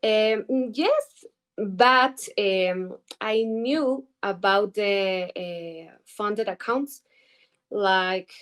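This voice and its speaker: A woman in her twenties from Ecuador, speaking English with an Ecuadorian Spanish accent as a native Spanish speaker, answering interview questions haltingly and pausing often to search for words. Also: medium pitch, fairly bright tone, smooth. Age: 20s. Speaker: guest trader. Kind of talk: interview answers over video call.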